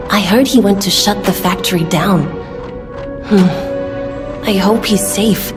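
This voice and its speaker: A woman's voice: medium pitch, close to an everyday voice. Actress as earnest young craftswoman in reverb. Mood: concerned, earnest. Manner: earnest, conversational, matter-of-fact. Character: kindly, practical young woman weapons craftswoman. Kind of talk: video game dialogue acting. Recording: long reverb under clear music.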